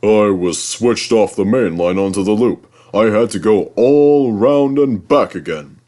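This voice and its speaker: A man's voice: lowish voice, a little slow, warm tone, close to an everyday voice. A man voicing a big, proud male express steam engine in a storybook reading, pompous and condescending. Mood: cross.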